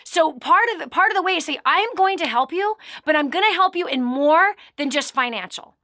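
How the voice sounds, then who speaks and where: High bright emphatic woman host. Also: animated, brisk, no room sound, clean studio sound. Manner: emphatic, preachy, swells louder on key words. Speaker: radio show host. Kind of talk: motivational coaching talk.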